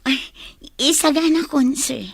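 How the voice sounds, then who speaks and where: A woman's voice: sits high, measured pace, vocal fry, no room sound, studio quality. A woman playing a young office secretary with a Filipino accent, eager to please yet resentful, in a radio drama. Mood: eager, deferential.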